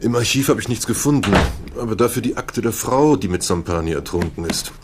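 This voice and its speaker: A man's voice: fairly low pitch, animated, fairly quick, noisy background, close to an everyday voice. An actor playing an obliging junior policeman with dry humour in a radio crime drama. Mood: brisk, wry.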